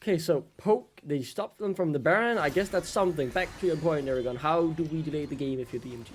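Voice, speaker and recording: A man's voice: a little high, quick pace, slight background noise. A man whose first language is Danish, casting a live esports match in chatty commentary and quizzing his co-caster.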